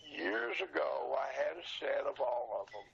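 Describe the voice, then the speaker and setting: An older man, past sixty, with a gravelly, husky voice, talking casually and plainly as an interview guest, explaining things informally. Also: medium pitch, normal speed, a bit bright. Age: 60s or older. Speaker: interview guest, old radio show collector and seller. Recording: dull lo-fi sound.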